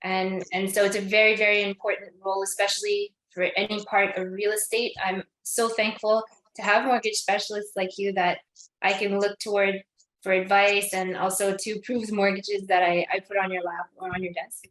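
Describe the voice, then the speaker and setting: A woman's voice: medium pitch, level tone, moderate pace, a bit bright. A woman co-hosting a money podcast on a video call, a real estate agent talking with "um" fillers. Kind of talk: casual chat on a call. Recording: echoey call audio.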